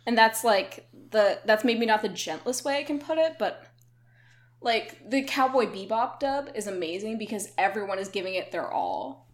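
A woman co-hosting a podcast in casual chat, opinionated and quick to laugh. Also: medium pitch, animated. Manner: chatty, opinionated, laughs often. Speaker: podcast co-host.

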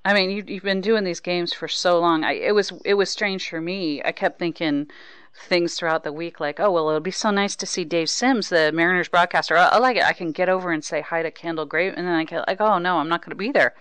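Woman, podcast host, low pitch, clean resonant tone, conversational interviewing, dry sound. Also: fairly quick, fairly bright tone, smooth, dry recording.

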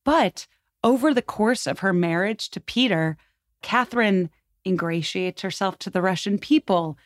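A woman's voice: medium pitch, expressive, measured pace, no echo, studio quality. A woman guest talking at length in an emphatic, rambling, conversational way, as if telling a story from history. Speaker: podcast guest.

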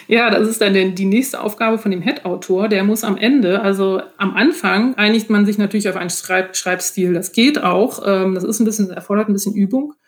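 A woman's voice: mid-range voice, a little fast, few pauses. A woman with a German accent answering interview questions as an author, explaining things in a conversational way. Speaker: guest, author of novels and audio dramas.

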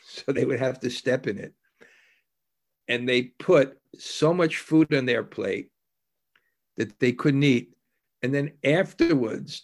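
A man giving an anecdotal spiritual discourse as a devotional teacher, mixing storytelling with scripture reading in a reflective, halting, conversational manner. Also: mid-range voice.

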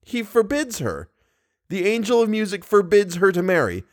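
A man's voice: sits high, huge pitch swings, normal speed, pitched above the performer's own voice. A man reading aloud, voicing a confused, earnest young nobleman who stammers now and then. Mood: choked.

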